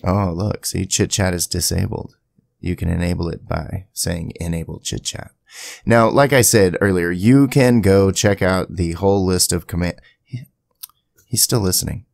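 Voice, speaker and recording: A man with a deep, creaky voice talks through a software tutorial. The sound is dry and close, with no room ring. His delivery is conversational, dropping in chatty asides as he explains each step. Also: normal speed, no room sound. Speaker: tutorial video creator. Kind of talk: casual screen-share software tutorial. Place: indoors at a home PC, voice-over to screen capture.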